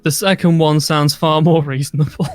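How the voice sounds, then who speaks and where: A man's voice: a little high, flat delivery, normal speed, dry recording, faint music behind. A man chatting on a game stream with friends, dry and mock-exasperated. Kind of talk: casual game stream chat.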